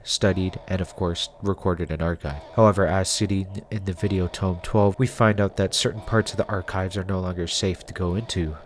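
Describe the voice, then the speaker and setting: A man narrating a scripted video essay in a measured, conversational, explanatory way, as the host of a review series. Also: low-pitched, a little hiss or hum. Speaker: host of a web series reviewing analog horror.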